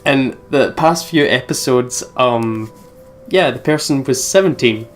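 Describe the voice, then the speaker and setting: A woman giving casual, halting reaction commentary as a let's play co-host. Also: very deep voice, slight background noise.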